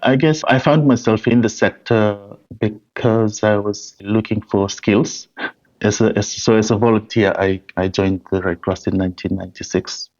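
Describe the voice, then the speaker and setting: A man's voice: on the low side, steady tone, normal speed, a little mellow. A man from Fiji, a humanitarian guest, talking in a remote interview over a video call, hesitant and often restarting. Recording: dull lo-fi call audio.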